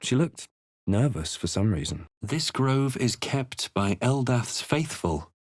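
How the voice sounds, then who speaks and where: Grave fantasy adventurer, dry close recording. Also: male voice, mid-range voice, big pitch swings, slow pace, dry recording. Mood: ominous, reflective. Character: brooding young male fantasy adventurer narrating his quest. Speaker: player character in fantasy role-playing game. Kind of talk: dramatic scripted game voice lines.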